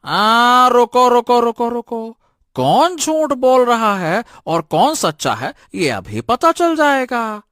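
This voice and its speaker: Man playing a high, sharp, bossy minister. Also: sing-song, takes it slowly, no room sound, studio quality, heavily acted. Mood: commanding. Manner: loud, commanding, taunting. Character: accusing, bossy male royal minister in a village tale. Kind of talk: Hindi cartoon story dialogue.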